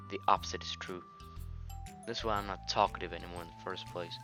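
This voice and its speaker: A young British man voicing a shy, anxious teenage girl in his own low voice, quiet and hesitant. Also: creaky.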